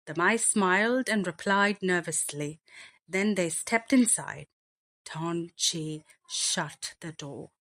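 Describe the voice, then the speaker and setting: A woman reads a picture book aloud for young children. Her voice sits a little low and she takes her time, very slowly, with deliberate and expressive phrasing. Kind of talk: slow read-aloud bedtime storytelling.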